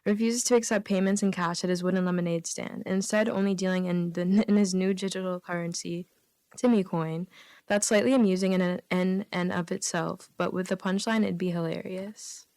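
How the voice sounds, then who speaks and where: A woman's voice: on the low side, fairly even intonation, brisk, darkish tone, dry recording. A woman guesting on a comedy radio show, reading out written jokes with casual asides.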